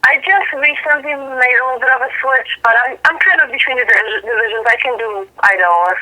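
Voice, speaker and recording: Bright-voiced woman in her thirties, pausing often. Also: high voice, poor audio. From Poland. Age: 30s. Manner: thoughtful, with frequent "um" pauses. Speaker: professional boxer and guest. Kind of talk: interview answers.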